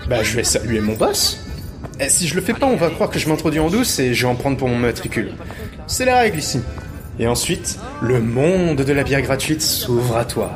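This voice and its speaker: Man playing a cheeky thief, swooping lively voice. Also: medium pitch, normal speed, music in the background, lightly acted. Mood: cheeky, breezy. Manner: glib, informal, theatrical. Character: sly, cheeky male thief, flattering and self-serving. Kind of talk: audio drama acting.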